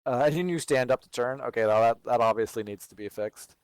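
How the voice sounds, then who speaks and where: A man hosting a casual livestream, reading questions from chat and answering as he goes. His voice is smooth and clear, recorded close with no room around it. He grew up in Texas but carries no regional accent. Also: mid-range voice, fairly quick, no room sound, rough recording. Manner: thinks aloud, reading and answering viewer questions. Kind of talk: casual live chat with viewers.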